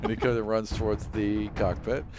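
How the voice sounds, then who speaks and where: A man's voice: on the low side, flat delivery, normal speed, heavy background noise. A man running a tabletop game as game master, narrating the action casually. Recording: music under the talk.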